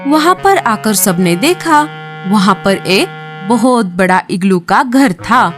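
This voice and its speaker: Slow, animated woman storyteller. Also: mid-range voice. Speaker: narrator. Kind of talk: scripted children's horror storytelling. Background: music.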